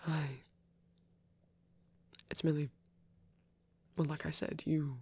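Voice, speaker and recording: A man playing a gentle, shy vampire priest in an intimate ASMR roleplay, speaking in a husky half-whisper with a hesitant, apologetic manner. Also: a little high, level tone, no room sound. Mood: flustered, hesitant. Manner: hesitant, halting, apologetic, rambling.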